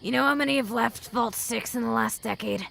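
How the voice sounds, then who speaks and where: A woman playing a tough, world-weary female ghoul who gives blunt, protective advice in a fan audio drama, with a creaky voice. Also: on the high side, fairly quick, fairly bright tone. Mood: grim, blunt. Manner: blunt, earnest advice-giving.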